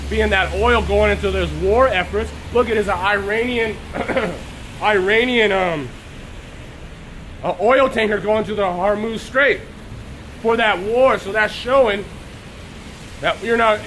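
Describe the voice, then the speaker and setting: A high-pitched man preaching live to an online audience, his voice hoarse as he recovers from being sick. He keeps clearing his throat and breaks off to greet viewers by name. A faint background hiss sits under the stream. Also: a little slow, fairly bright tone, poor audio. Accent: Texas.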